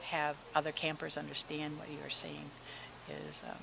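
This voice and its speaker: Adult camper, measured reflective answers, medium pitch, noisy outdoor recording. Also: little pitch movement, vocal fry. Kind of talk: interview answers.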